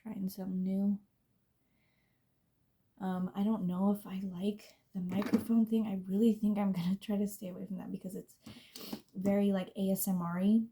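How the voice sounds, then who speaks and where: A young woman with a scratchy, gravelly voice rambles casually through her makeup routine. Her tone is mellow and dark, and she laughs at herself and trails off into soft, half-whispered asides. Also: mid-range voice, little pitch movement, normal speed. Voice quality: scratchy smoker's voice. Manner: rambling, chatty, self-deprecating, drifts into soft near-whispers. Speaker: beauty vlogger. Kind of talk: casual chat while doing makeup.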